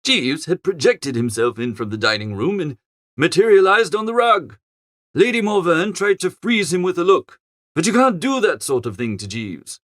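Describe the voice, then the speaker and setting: A man's voice: a little high, sing-song, moderate pace, fairly bright tone, dry recording, clean studio sound. A man narrating a comic first-person story as an audiobook reader.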